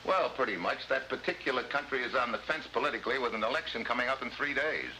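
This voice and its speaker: An actor playing a wry, tough American special agent in a 1950s spy drama, with an American accent and sardonic delivery. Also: male voice, medium pitch, fast talker, pushed and bright. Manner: wisecracking, sardonic, hard-boiled. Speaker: actor in the lead role. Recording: noisy vintage 1950s broadcast recording.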